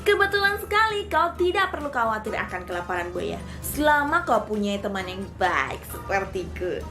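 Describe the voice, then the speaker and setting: A woman's voice: medium pitch, sing-song, normal speed, sharp tone, soft background music. A woman voicing a sly, boastful trickster mouse deer in a children's storybook, sweet-talking with feigned innocence. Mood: falsely kind.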